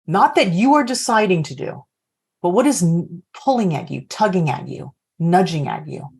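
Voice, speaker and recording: A woman's voice: lowish voice, animated, moderate pace, vocal fry, darkish tone, slightly rough. A woman coaching leaders on a video call, reflectively posing open questions to the group. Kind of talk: workshop facilitation.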